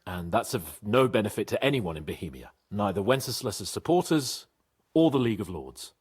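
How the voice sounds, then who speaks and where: A man playing a bold, polite young nobleman in a video game, with a husky voice and an earnest, deferential way of talking. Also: on the low side, big pitch swings, a little fast. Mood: earnest, diplomatic. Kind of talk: video game cutscene acting.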